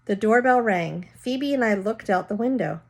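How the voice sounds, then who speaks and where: A woman's voice: mid-range voice, animated, moderate pace, vocal fry. A woman reading a children's story aloud, narrating and casually explaining words as she goes.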